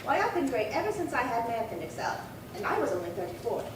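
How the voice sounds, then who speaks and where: A woman's voice: sing-song, fast. A woman playing a fussy, indignant middle-aged burglary victim with a prim, folksy way of insisting, in a radio drama. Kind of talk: 1950s radio crime drama acting. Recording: echoey room, distant mic, noisy rumble.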